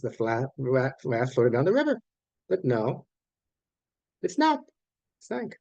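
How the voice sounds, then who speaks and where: A man teaching an online class in an informal lecture style, explaining things haltingly and often correcting himself mid-sentence. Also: medium pitch, dark tone, no room sound. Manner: halting, explanatory, with repeated phrases and self-corrections. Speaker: online course teacher of academic English writing.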